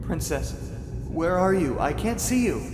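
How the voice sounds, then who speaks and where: A voice actor playing Silver Lining, a loyal pony, asking urgent anxious questions in a fan radio drama. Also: male voice, high voice, big pitch swings, unhurried. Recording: dreamy long reverb with music.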